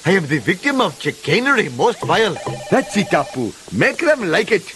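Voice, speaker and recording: A man's voice: high voice, very animated, moderate pace, music in the background. A man voicing a fussy, polite Indian-accented shopkeeper in a cartoon game, with florid formal phrasing. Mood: indignant.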